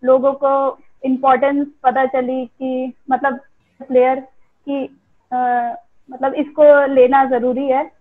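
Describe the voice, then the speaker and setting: A young woman speaks in a high, fairly even voice. She answers interview questions haltingly, pausing often as she thinks. The sound is narrow and muffled, like an online call. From India. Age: early 20s. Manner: hesitant, halting with frequent pauses. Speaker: interviewed cricketer. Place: remote call on social media live. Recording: muffled, band-limited video call audio.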